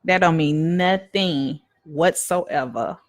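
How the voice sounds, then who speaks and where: A woman's voice: deep voice, slow pace. A woman minister giving a casual live-streamed devotional talk, emphatic and repetitive in a preaching cadence, addressing viewers as y'all.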